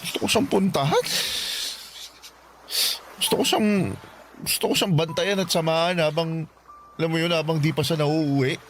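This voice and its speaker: A man's voice: fairly high pitch, moderate pace, long pauses, slight background noise, close to an everyday voice. An actor playing a grieving young man in a radio drama, speaking earnestly and sorrowfully. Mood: resolved. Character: grieving young man, the narrator's past self. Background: music.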